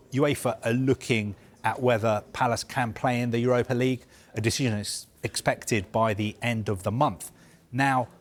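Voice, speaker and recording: A man reporting football news, explaining a story in a measured, careful way with frequent fillers. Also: mid-range voice. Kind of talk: live news discussion.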